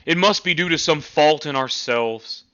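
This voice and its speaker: A man voicing a loyal, hardworking farm horse in a storybook, earnest and halting in his speech. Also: a little high, pushed and bright, clean tone, dull audio, voice pushed up. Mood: troubled, resolute. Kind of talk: storybook character reading.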